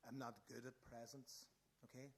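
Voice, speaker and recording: A man's voice: mid-range voice, little pitch movement, normal speed, natural voice. A man playing a guarded, sardonic teenage emo punk in a radio play, with a dry, defensive delivery. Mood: defensive, wary.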